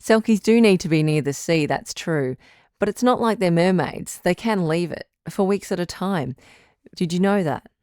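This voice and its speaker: A woman playing a calm, firm relationship advice doctor hosting a radio call-in drama, measured and probing. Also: lowish voice, clean tone, dry recording, clean studio sound, close to an everyday voice.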